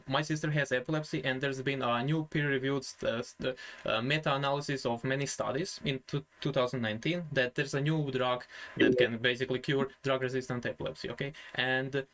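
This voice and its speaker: A man from Croatia speaks at an ordinary mid pitch, arguing his case in a casual chat. He often stammers and restarts his sentences before settling into his point. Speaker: channel host, debater.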